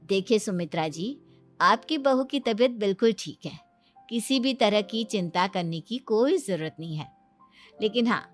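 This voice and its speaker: A woman's voice: medium pitch, animated, unhurried, soft background music, natural voice. A woman voicing a friendly, encouraging lady doctor chatting with a family in an acted comedy story. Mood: pleased, advising.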